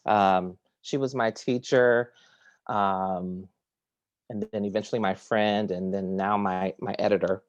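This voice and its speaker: A man reading poems aloud at a virtual poetry reading from his home, measured and deliberate, introducing each piece with hesitant fillers. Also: lowish voice, steady tone. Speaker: poet reading at a virtual series. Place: home kitchen or similar domestic room. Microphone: video call.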